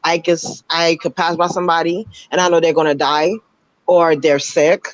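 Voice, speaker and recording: A woman calling into a live show, her voice raspy from just waking up, talking earnestly with halting restarts. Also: low-pitched, level tone, no room sound. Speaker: caller. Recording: dull, dry call-in line.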